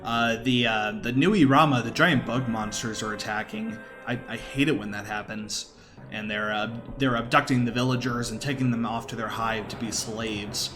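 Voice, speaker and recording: A man's voice: mid-range voice, normal speed. A man commentates a speedrun in a casual, explanatory way. His voice sits in a middle register, and he drops in wry, informal asides. Game music plays faintly behind him. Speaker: speedrunner giving live commentary.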